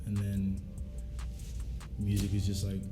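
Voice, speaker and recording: A man's voice: lowish voice, monotone, takes it slowly. A young man from South Sudan, chatting casually and reflectively in laid-back slang as a musician being interviewed. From South Sudan, raised in Australia. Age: young adult. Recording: roomy echo, faint music behind.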